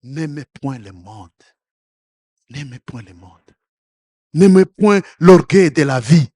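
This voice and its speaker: A man with a fairly high voice delivers a sermon in French, which is not his first language, at an unhurried pace. He stumbles, repeats short words and restarts, then builds to loud exhortations before falling back to a soft, low tone. The sound is close and dry. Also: expressive, no echo. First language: another African language. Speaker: pastor.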